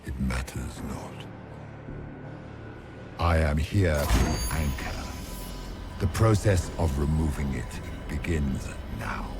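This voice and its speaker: An ancient monstrous tyrant, with an extremely deep voice and slow, grandiose delivery. He is arrogant and menacing, a video game villain who believes himself a god. Loud music swells under the voice. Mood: cold contempt. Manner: pompous, grandiose, slow deliberate oratory.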